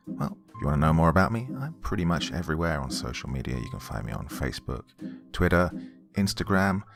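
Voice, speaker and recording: A man's voice: very deep voice, sing-song, fast talker, vocal fry, music in the background. A man who narrates horror stories and hosts, speaking as a storyteller. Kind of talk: dramatic first-person story narration and casual sign-off.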